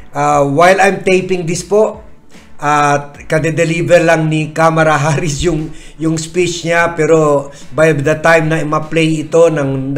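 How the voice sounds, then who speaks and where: Filipino vlog host, middle-aged man, slightly high voice, hesitant Taglish with lots of fillers. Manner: halting, many fillers and restarts. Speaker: vlog host reacting to news. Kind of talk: casual Taglish commentary. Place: home office, indoors.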